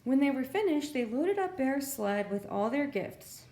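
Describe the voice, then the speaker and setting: In an echoey space, a woman reads a storybook for preschool children. Her voice is fairly high and moves up and down a lot, with an expressive, simple delivery suited to a library story time. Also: a little slow. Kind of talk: read-aloud storybook narration for preschoolers. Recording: roomy, echoey sound.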